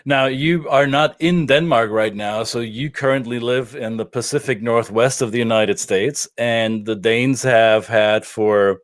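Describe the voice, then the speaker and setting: A man's voice: mid-range voice, unhurried, few pauses, no room sound, clean studio sound. A European man hosting an interview, asking curious leading questions. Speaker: podcast host. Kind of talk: interview conversation.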